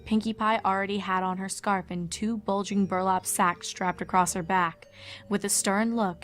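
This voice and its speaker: A woman narrates a written story in a measured storytelling manner. Her pitch is average for a woman. Faint music plays underneath. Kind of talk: scripted fan fiction narration.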